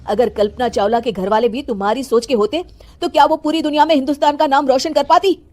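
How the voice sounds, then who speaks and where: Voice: high voice, steady tone, fast talker, a little mellow, natural voice. An actor voicing an indignant, protective father in a moral story, speaking firmly with reproach and reassurance. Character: indignant protective father defending his daughter's schooling.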